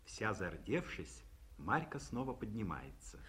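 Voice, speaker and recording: Narrator, male, husky, lively delivery, old radio studio recording. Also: on the low side, unhurried. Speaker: narrator reading stage directions. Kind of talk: 1950s radio play narration.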